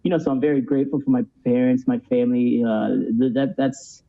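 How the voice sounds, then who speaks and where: A man's voice: mid-range voice, fairly even intonation, fairly quick, narrow, tinny audio. A man in his thirties from Cuba, raised in the USA, chatting reflectively as a podcast guest with frequent "you know". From born in Cuba, moved to the USA as a child. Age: 30s. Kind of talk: casual conversational interview.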